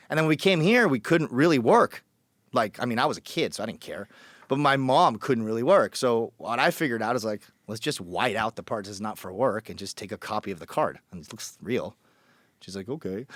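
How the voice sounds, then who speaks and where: A man's voice: medium pitch, a bit bright, dry recording, clean studio sound. A man from Colombia telling his story as a podcast guest, blunt and joking, acting out the people he quotes. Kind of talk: casual storytelling.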